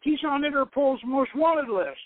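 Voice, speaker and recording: A man's voice: extremely high pitch, expressive, a little slow, dry recording, narrow, tinny audio, pitched above the performer's own voice. A man voicing a wry, blunt, playfully grumbling male adventurer in a novel reading. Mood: indignant.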